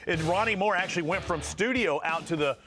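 A man's voice: sits high, brisk, over music. A middle-aged man hosting a fishing show from a TV studio, chatting casually and reading out catch alerts. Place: TV studio set.

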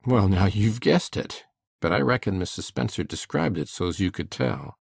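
A man playing a shy, plain-spoken older farmer in a dramatic audiobook, with a husky voice and a folksy, hesitant manner. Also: on the low side, expressive, moderate pace, no echo, studio quality, heavily acted. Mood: gently pleased.

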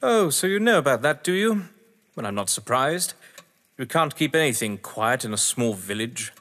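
A man's voice: mid-range voice, very animated, normal speed, fairly bright tone. A man acting in an audio drama as a witty, haughty husband under questioning, verbose, sardonic and theatrical. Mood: mocking. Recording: echoey hall-like reverb.

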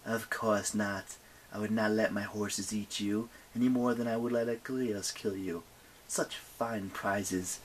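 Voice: medium pitch, fairly even intonation, unhurried, a little hiss or hum, natural voice, rough recording. A narrator voicing a huge, ancient night goddess, menacing and imperious, speaking in a commanding, formal way for an audiobook story. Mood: coldly menacing.

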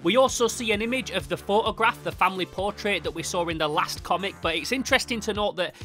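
A man's voice: high-pitched, quick pace, a bit bright, soft background music. A young man hosting an enthusiastic comic review, narrating in an emphatic, sweary way. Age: young adult.

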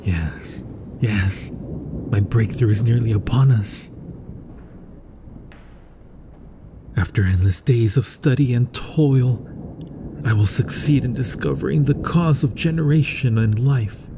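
A man playing an obsessed, grandiose mad scientist in a gothic horror ASMR roleplay, with florid, dramatic, often breathy delivery. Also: medium pitch, measured pace, close to an everyday voice. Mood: rapturous anticipation. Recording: thunderstorm and rain noise. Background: rain and thunder ambience.

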